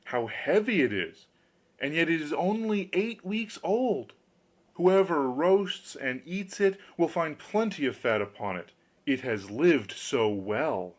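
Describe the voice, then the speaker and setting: A crafty storybook countryman, played by a male reader. He sounds folksy and confiding, pressing his case with a show of grave concern and widely moving pitch. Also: lively intonation, takes it slowly. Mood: boastful. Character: sly countryman feigning grave, friendly concern.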